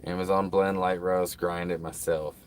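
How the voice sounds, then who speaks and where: A man's voice: sits low, flat delivery, normal speed, a little hiss or hum. A man hosting a casual livestream, rambling to his viewers with lots of fillers. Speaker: livestream host.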